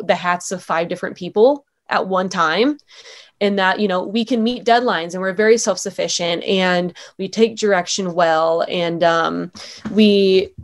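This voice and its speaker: A young adult woman, a former sports anchor, talking casually as an interview guest in an upbeat, chatty way with lots of "um" and "like". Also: mid-range voice.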